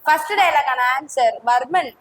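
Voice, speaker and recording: A woman's voice: high voice, normal speed, fairly bright tone, slight background noise. A woman hosting a challenge show in casual, playful chat with a teasing, informal manner.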